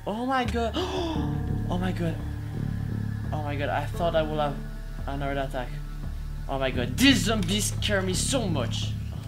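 A man's voice: a little high, measured pace. Young male gaming host, non-native English, jumpy and hesitant, headset mic, music under the voice. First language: not English. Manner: excitable, hesitant, frequent exclamations. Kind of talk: casual gameplay commentary.

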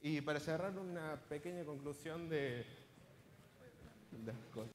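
The young Argentine guy on stage at a tech meetup, telling his story casually in a lightish, higher voice that rings around the big auditorium. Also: measured pace, slight background noise. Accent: Argentine Spanish. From Córdoba province, Argentina. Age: 20s. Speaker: conference speaker, software engineer. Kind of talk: informal conference presentation. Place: large auditorium stage, indoors. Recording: reverberant hall sound from a stage.

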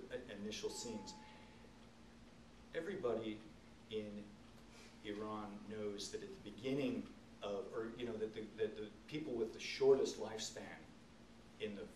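A middle-aged man speaking as an author at a public talk, explaining and telling stories in an earnest, emphatic way with halting pauses. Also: on the low side. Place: indoor event room, seated on stage. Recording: roomy, distant camcorder sound, faint noise.

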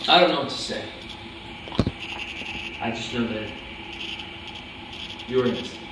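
A man's voice: mid-range voice, measured pace, natural voice. A young man playing a stubborn, evasive accused war criminal in a staged reading, speaking in a terse, defensive, controlled way. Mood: resigned, evasive. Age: young adult. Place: indoor room, table before a plain wall. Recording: echoey room, distant microphone, clear noise.

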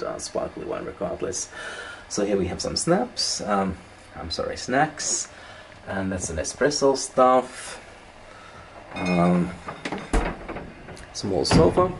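Very low-voiced man, halting room tour. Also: a little mellow, slight background noise. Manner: halting, repeats words and trails off. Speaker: travel blogger reviewing a hotel room. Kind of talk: casual unscripted walk-through narration. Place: indoors, moving around a hotel room. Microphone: handheld camera, operator behind it.

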